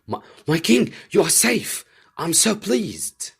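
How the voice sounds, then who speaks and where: A man voicing an anxious, excitable and loyal royal aide in a storybook reading, with urgent, pleading exclamations. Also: high-pitched, slow, creaky, breathy edge, voice pushed up, heavily acted. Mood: relieved, excited.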